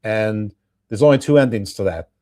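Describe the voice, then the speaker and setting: A man's voice: lowish voice, moderate pace, a little mellow, no room sound, studio quality. A man from the United States hosting a podcast discussion in an articulate, discursive, reasoned way. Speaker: podcast host. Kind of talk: conversational discussion.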